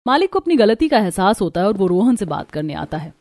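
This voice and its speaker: A woman's voice: mid-range voice, big pitch swings, a little fast, a little mellow. Woman narrating a scripted story.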